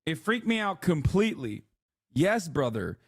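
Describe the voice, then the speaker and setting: A man from Turkey with an American English accent, chatting casually as a live-stream host, blunt and animated with lots of filler words and casual swearing. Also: fairly high pitch, unhurried, breathy edge, no echo, clean studio sound. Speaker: livestream host.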